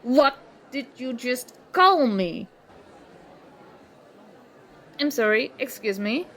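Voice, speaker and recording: A woman's voice: on the high side, animated, unhurried, bright, forceful tone, heavily acted. A woman acting an indignant, sarcastic young student confronting a former school enemy in an audio roleplay. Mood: bitter, sarcastic. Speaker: ASMR roleplay performer. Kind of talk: ASMR roleplay acting, one side of a dialogue.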